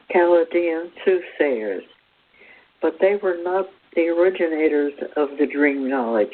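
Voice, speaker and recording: A man presenting a spiritual teaching as a read-out talk, with measured, deliberate phrasing in short pieces. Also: fairly high pitch, a little hiss or hum. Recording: thin phone-like radio sound.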